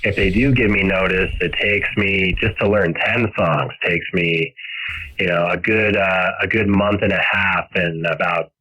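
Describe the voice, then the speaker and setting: A man chatting casually over a ham radio link, halting and self-deprecating as he talks. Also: low-pitched, sharp tone. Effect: radio transmission. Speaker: amateur radio operator. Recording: dull, husky-sounding ham radio signal.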